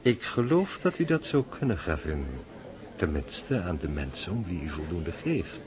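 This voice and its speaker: An actor playing an ambitious, courteous young army lieutenant in a radio drama, speaking in a suave manner. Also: male voice, low voice, sing-song, normal speed. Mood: measured, suave. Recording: party crowd murmuring behind.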